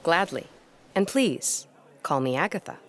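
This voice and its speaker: An actress playing a reserved, keen-witted historian in a gothic audio drama, speaking formally with a dryly probing manner. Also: female voice, sits low, expressive, unhurried, fairly bright tone, a little hiss or hum. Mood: gracious.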